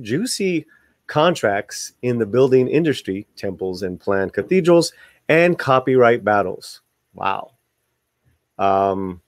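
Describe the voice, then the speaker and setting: A man's voice: mid-range voice, dry recording. Casual male host, very dry close sound. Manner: stumbles over words while reading; informal asides to viewers. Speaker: video channel host. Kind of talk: reading an article aloud with casual commentary.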